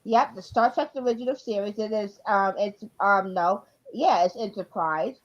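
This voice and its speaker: A woman in her forties chatting casually on a live stream, rambling and filling pauses with ums. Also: medium pitch, dull audio. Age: 40s. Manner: rambling, many ums while listing. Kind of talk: casual chat.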